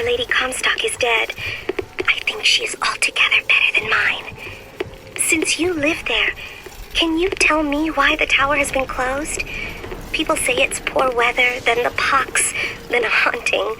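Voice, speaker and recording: A prim, curious young girl reads her letter aloud with earnest politeness. The voice is high and sits in the crackle of an old audio diary in a video game. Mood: eager, confiding.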